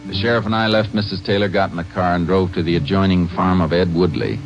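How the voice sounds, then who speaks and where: An actor playing a calm, shrewd Texas Ranger who narrates his case in terse, matter-of-fact old-time radio style. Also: low voice, fast, music in the background. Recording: dull vintage radio recording.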